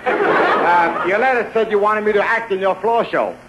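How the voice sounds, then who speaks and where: An actor playing a good-natured, dim ex-boxer with slangy, guileless talk, in 1940s radio comedy style. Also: male voice, sits high, normal speed, natural voice. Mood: jokey. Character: good-natured, dim, punchy ex-boxer who loves his own jokes. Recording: noisy vintage radio broadcast recording.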